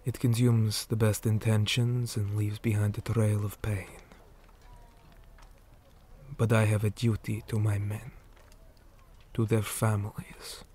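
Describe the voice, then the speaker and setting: A man playing a weary, stern orc commander in an ASMR roleplay, speaking in a hushed, deliberate manner that often drops toward a whisper. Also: lowish voice, husky. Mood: grave, weary. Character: weary male orc commander, stern, measured, dutiful soldier. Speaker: interrogator in a roleplay.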